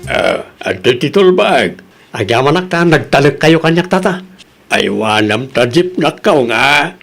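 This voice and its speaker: A man plays a proud, hot-headed Filipino driver in a comic radio drama. His voice is high for a man and swings widely up and down, brash and full of swagger. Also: big pitch swings, measured pace, creaky, fairly bright tone, soft background music, heavily acted. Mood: chatty, agreeable. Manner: brash, boastful. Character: cocky, hot-headed jeepney driver son-in-law, proud and defiant. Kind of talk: Ilocano comedy radio drama acting.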